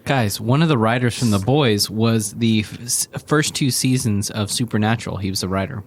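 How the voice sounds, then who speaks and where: A man's voice: fairly low pitch, normal speed, slight background noise. A man co-hosting a casual beer podcast, joking around and swearing casually with his friends. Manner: joking, does comic voices and swears casually. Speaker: podcast co-host. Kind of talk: casual chat over beers.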